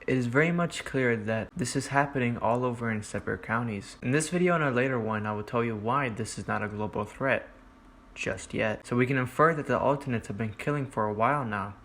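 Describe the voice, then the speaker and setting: A man's voice: medium pitch, fairly bright tone. A man hosting an explainer video, reading scripted commentary as narration. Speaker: host of a horror series explainer video.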